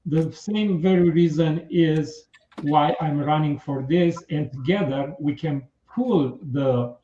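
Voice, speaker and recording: A man with a Romanian accent speaking as a political candidate in a radio interview, in formal, earnest, halting phrases. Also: on the high side, warm tone. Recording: muffled, roomy radio interview sound.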